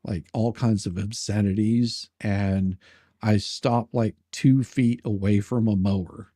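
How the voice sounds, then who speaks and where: Man, lowish pitch, dark mellow tone, dry sound, casual anecdotes, halting, repetitive, rambling delivery. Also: steady tone, dry recording. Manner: halting, rambling storyteller who repeats words. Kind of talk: casual chat, telling personal anecdotes.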